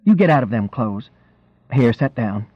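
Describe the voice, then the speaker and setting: A man's voice: medium pitch, expressive, normal speed, slightly rough. A man voicing a small, angry, worried country wife who scolds in an exasperated rustic dialect. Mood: brusque, impatient. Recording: roomy, dull lo-fi reading.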